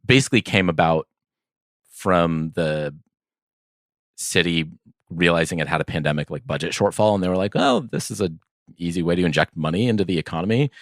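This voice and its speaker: A man's voice: deep voice, brisk, dry recording, clean studio sound. A man co-hosting a podcast, chatting casually about sports in a thoughtful, conversational, slightly hedging way. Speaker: podcast co-host.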